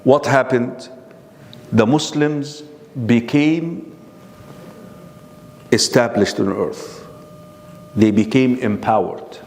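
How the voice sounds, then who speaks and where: Male religious teacher, mid-pitched voice, lectures by firing questions at the room, long hall reverb. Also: takes it slowly, long pauses, darkish tone, a little hiss or hum. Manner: keeps quizzing the audience with questions. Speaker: Islamic lecturer. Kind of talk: classroom lecture.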